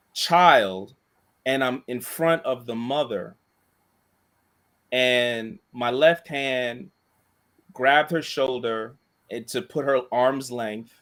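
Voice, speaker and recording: A man with an even, monotone voice tells a rambling story, full of restarts and asides. He talks casually, like a co-host chatting with friends. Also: medium pitch, clear, resonant tone. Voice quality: monotone voice, self-described. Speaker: co-host and school staff member.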